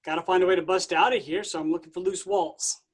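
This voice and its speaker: An actor playing Jesus as a casual, awkward, upbeat buddy in a comic staged play reading. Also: male voice, sits high, fairly even intonation, fast talker. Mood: awkwardly caring. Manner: breezy, informal.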